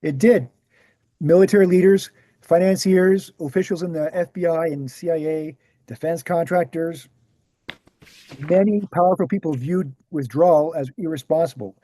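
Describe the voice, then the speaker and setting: A man reading the scripted part of a measured author who answers questions at a talk, deliberate and explanatory. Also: fairly high pitch, mellow, dry recording, close to an everyday voice. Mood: grave, explanatory. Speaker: actor in a screenplay table read. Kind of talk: scripted dialogue read aloud.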